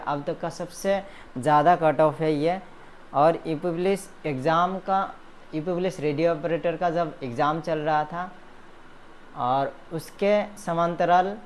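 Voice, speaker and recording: A man giving spoken commentary to students in a halting, earnest way, phrase by phrase. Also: on the high side, a little mellow. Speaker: education vlogger addressing exam students. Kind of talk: spoken news commentary to viewers. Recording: noticeable background ambient noise.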